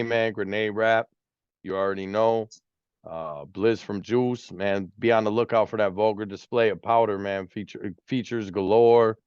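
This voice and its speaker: A man around forty with a lowish voice, easygoing and unhurried as he chats. The sound is muffled and lo-fi, with a dry, close room and almost no echo. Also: clear, resonant tone. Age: 40s. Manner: laid-back, drawled shout-outs, tag "man" and "you know what I mean". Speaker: music producer, guest. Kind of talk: casual interview chat.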